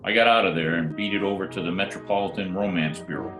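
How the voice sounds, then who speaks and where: A man's voice: low-pitched, quick pace, close to an everyday voice. An actor playing a wry, hard-boiled private detective who narrates his own case in an old-time radio drama. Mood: grim, determined. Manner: wry, deadpan wisecracking. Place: at home, recorded remotely. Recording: roomy remote home recording, music behind.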